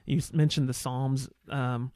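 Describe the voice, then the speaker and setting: A man's voice: medium pitch, level tone, unhurried, a little mellow, no echo, studio quality. A man hosting a podcast interview in a casual, encouraging, conversational way. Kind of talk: casual, supportive interview chat.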